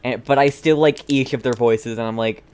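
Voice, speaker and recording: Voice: mid-range voice, steady tone, fairly quick, a little mellow, clear, resonant tone. A streamer giving casual, playful live reactions while playing a game, with giggly asides.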